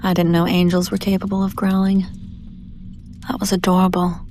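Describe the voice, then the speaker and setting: Woman voicing a low, whispery, domineering seductive demoness. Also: level tone, darkish tone, a little hiss or hum, lightly acted. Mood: teasing, admiring. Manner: teasing, condescending, slow, lapsing into breathy whispers. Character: seductive, domineering female demon buyer taunting a captive angel. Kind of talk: intimate scripted audio roleplay addressed to the listener.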